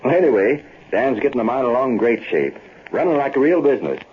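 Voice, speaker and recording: Plain folksy miner, dull old recording. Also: male voice, medium pitch, sing-song, quick pace, breathy edge. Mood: earnest. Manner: folksy rustic Western speech. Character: plain-spoken, trusting, puzzled Old West miner husband. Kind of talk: old-time radio Western acting.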